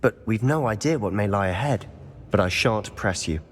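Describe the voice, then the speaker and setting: A man's voice: lowish voice, expressive, moderate pace, close to an everyday voice. A man playing an eager, loyal teenage wizard schoolboy in a video game, earnest and conspiratorial, with a British accent. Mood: earnest, persuasive. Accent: British English.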